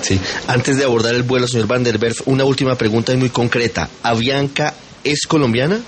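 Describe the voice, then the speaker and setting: A man hosting a radio show, brisk and courteous as he wraps up an interview. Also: medium pitch, fairly even intonation, a little hiss or hum. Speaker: radio host.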